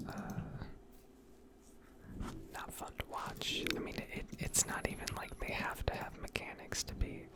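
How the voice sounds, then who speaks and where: A woman speaking in a breathy whisper, giving rambling, unscripted and self-deprecating ASMR commentary over gameplay. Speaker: gaming video creator.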